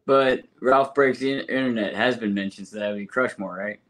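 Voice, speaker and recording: A man speaking as a podcast guest in a casual, somewhat halting way. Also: lowish voice, a bit bright. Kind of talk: casual chat.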